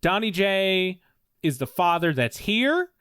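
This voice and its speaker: A man with a fairly high, smooth and resonant voice, recorded close and dry. He plays an earnest, self-serious student, stammering as he restarts his sentences. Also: very animated, slow pace, a bit bright, no echo. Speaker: student in a mock class. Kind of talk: comedic improvised roleplay.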